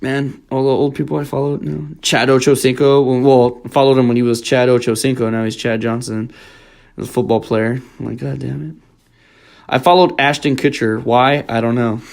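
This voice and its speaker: A man's voice: mid-range voice, fairly even intonation, moderate pace, vocal fry, a little mellow. A young man co-hosting a casual podcast, rambling and telling stories loosely. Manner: rambling storyteller, acts out quotes. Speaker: podcast co-host. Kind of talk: casual chat.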